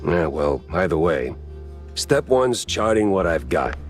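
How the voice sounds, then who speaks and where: A world-weary mouse private eye from a noir video game. The voice sits low and swings expressively through dry, deadpan lines. Faint music plays underneath. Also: male voice, measured pace. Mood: dry, wry. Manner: deadpan, hard-boiled. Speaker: detective narrator and lead character. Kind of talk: film noir game dialogue and narration.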